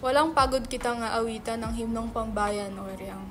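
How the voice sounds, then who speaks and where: A high-pitched voice plays an excitable young writer in a stage play a woman acting the male part. The delivery is theatrical and teasing, with music playing underneath. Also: normal speed, natural voice. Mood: impassioned romantic recitation. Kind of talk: dramatic reading of a stage play.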